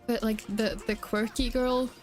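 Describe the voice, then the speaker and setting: Woman streamer chatting haltingly, music behind. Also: fairly high pitch, steady tone. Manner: hesitant, rambling, self-correcting. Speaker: game streamer. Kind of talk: casual chat while playing a game. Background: background music.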